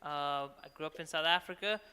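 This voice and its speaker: A man's voice: a little high, brisk, bright, forceful tone, smooth, long reverb tail. A man from Brazil, raised in South Africa, introducing himself cheerfully on a casual live-stream chat.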